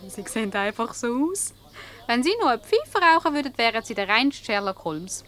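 Lively, high-voiced Swiss German flirt. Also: female voice, moderate pace. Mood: teasing, knowing. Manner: teasing, self-assured. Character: playful, cunning, flirtatious married woman fooling a detective. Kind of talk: radio comedy acting.

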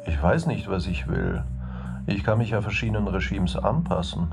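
An actor playing a weary, noncommittal novelist in a radio interview, with a gravelly, sleepy voice. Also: male voice, deep voice, moderate pace. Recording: music behind the voice.

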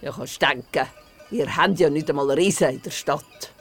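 A woman's voice: deep voice, normal speed, husky, soft background music. A woman playing a spirited old Swiss village grandmother who tells tales in Glarus dialect, emphatic and teasing, in an audio play. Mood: teasing, proud. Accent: Swiss German, Glarus. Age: older. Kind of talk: animated dialect storytelling in a radio play.

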